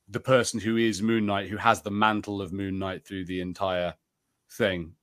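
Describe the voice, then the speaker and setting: A man with a fairly low voice talks as a podcast co-host. His delivery is casual and conversational, rambling along with lots of "like" as he gives his opinions. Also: fairly bright tone. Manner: rambling and conversational, heavy use of "like". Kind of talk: casual chat about TV shows.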